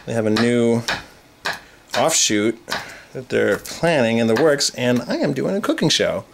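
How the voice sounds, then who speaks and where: A man's voice: mid-range voice, big pitch swings, unhurried, creaky, background noise. A young man, a composer, hosting a joking home cooking show in a small kitchen, rambling mock-earnestly with lots of fillers. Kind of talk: tongue-in-cheek casual cooking show talk. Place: small home kitchen with brick wall, indoors.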